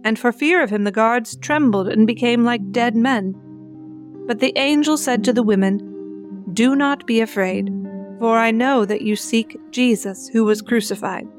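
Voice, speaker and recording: A woman's voice: a little high, a little slow. A woman narrator giving a scripted reading of scripture. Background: music with guitar.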